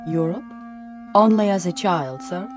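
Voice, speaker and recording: An actress playing a concerned housekeeper in a radio drama, polite, deferential and hesitant. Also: female voice, lowish voice, a little slow, faint music behind, lightly acted. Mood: concerned, puzzled.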